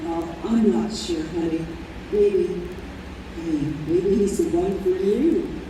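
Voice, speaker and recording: An actress playing a warm, exasperated mother in her fifties in an old-time radio style stage play. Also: lowish voice, slow. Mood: tender. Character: warm, exasperated fifty-two-year-old smoker mother and office manager. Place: convention room stage performance. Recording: roomy live hall, faint background noise.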